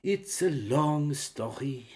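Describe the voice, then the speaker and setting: A slow-spoken foreign man played by an actor, with lively rises and falls in his voice. He is a wary but courteous castaway in a radio adventure, choosing his words carefully and haltingly. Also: fairly high pitch. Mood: guarded. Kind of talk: radio adventure drama acting.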